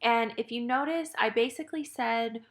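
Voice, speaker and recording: A woman with a fairly high voice gives a casual instructional talk. She is chatty and encouraging, explaining in plain words like the host of a training channel. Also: moderate pace, a bit bright. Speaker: EMS educator and channel host. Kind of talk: casual instructional talk with a demonstration radio report.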